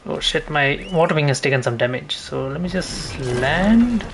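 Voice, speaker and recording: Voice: mid-range voice, lively intonation, normal speed, noisy background. A gamer giving casual, informal play-by-play commentary, thinking aloud and swearing mildly now and then. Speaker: gameplay streamer.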